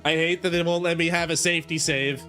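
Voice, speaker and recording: A man's voice: fairly high pitch, fairly even intonation, quick pace, bright, forceful tone, faint music behind. A man casually commenting while playing a video game, joking and mocking himself. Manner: joking, self-mocking asides. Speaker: game streamer. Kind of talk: casual let's-play commentary.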